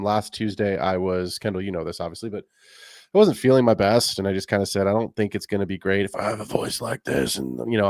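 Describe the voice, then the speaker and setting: Chatty low-voiced podcast host, dry sound. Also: male voice, dry recording. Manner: chatty, rambling, friendly. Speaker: fantasy football podcast host. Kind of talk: casual podcast talk.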